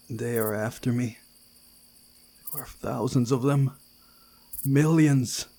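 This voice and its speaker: A man voicing an anxious, frightened young visual novel hero in a halting, breathy, dramatic way. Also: mid-range voice, warm tone, voice pushed up, full character voice.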